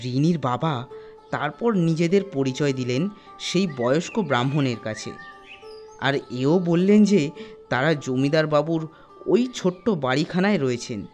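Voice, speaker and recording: A man's voice: on the high side, normal speed, darkish tone, soft background music. A man narrating a scripted ghost story for an animation, as a storyteller.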